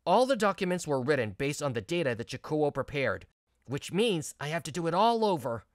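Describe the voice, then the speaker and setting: A woman's voice: deep voice, a little fast, dry recording, clean studio sound. A woman voicing an earnest, stressed young male office worker, narrating and acting in a manga video. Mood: reflective. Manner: earnest, expressive. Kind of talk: first-person narration and dialogue in manga video.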